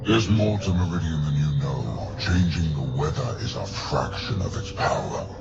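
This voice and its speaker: An actor playing a cold, imperious alien warlord villain in a video game, haughty and menacing in delivery. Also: male voice, a bass voice, lively intonation, normal speed, creaky, dull audio, over loud music, heavily acted. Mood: menacing, contemptuous.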